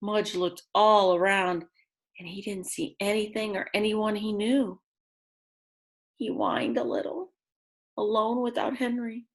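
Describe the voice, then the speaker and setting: A woman reads a children's story at a slow, deliberate pace in a mid-range voice. She leans on key words for drama and drops in simple, chatty remarks for her young listeners. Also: mid-range voice, steady tone. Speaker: children's read-aloud storyteller. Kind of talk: expressive picture-book reading with asides to young listeners.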